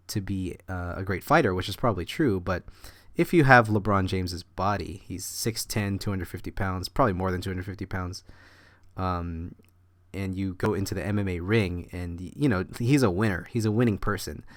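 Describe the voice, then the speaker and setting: A man's voice: deep voice, normal speed, dry recording. A man who is a little sick with a cough, casually talking over a drawing time-lapse in a rambling, meandering way as the channel's host.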